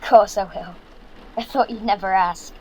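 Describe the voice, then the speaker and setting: An earnest young British son in a zombie audio drama , acted by a young man. He is practical and bossy, instructing in a light, high voice. There is faint background noise. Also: fairly even intonation, moderate pace, natural voice, rough recording. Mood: fond, dry. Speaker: actor.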